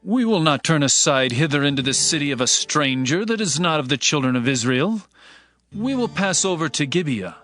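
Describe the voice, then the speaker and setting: A man's voice: on the high side, animated, fairly quick, a bit bright, soft background music. A man playing a firm, courteous wayfaring master in a dramatized scripture reading, speaking formal, declarative archaic English. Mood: firm, decided. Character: firm, courteous travelling master seeking lodging.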